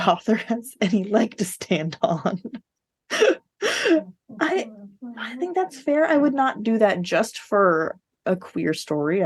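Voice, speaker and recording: A woman's voice: fairly low pitch, vocal fry, a little mellow. A woman co-hosting a podcast, casually discussing books in a candid, opinionated way with a readiness to laugh. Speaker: podcast co-host.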